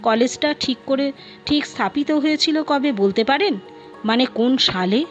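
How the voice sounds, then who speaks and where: Storyteller as curious young woman, high pitch, hesitant, questioning, story dialogue, lo-fi sound. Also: normal speed, natural voice. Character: curious, easily flustered young woman being courted. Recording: dull lo-fi sound with music behind.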